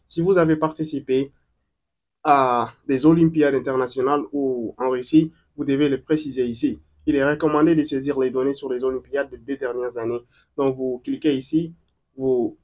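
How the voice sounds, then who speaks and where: A man's voice: mid-range voice, darkish tone. Man explaining an online form step by step. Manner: plain step-by-step explaining, fillers and restarts. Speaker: tutorial presenter. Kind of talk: screen-recorded walkthrough of an online form.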